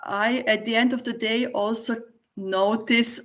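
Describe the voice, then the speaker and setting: A woman's voice: a little high, steady tone, unhurried, fairly bright tone. Woman on a lo-fi call. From Serbia. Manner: explanatory, frequent "right?" tag questions. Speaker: guest, entrepreneur. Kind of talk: podcast interview answer. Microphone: remote call.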